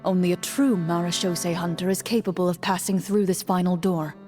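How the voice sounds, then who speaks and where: A woman playing a composed, stern duelist in a video game, speaking in a measured, formal way over music. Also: lowish voice, steady tone, mellow, close to an everyday voice. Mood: solemn. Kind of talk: video game voice acting, storytelling narration. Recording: faint music behind the voice.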